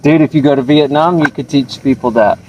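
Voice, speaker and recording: A man's voice: on the high side, fairly even intonation, fairly quick, muffled recording, noisy background. A man patiently teaching a chant in a friendly, casual way, with easygoing slang. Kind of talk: casual coaching.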